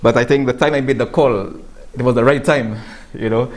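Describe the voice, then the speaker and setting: A man's voice: medium pitch, fairly even intonation, fairly quick. A man hosting a studio sports talk show, chatty and laughing, often repeating words as he introduces his guest.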